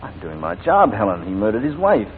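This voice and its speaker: A low-voiced, proud prosecuting barrister in a 1940s radio play. He speaks formally and insistently with big swings of pitch, through a narrow, tinny old broadcast sound. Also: huge pitch swings, a little fast, slight background noise. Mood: firm, sceptical. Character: shrewd, proud English prosecuting barrister, devoted husband. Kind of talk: 1940s radio drama acting.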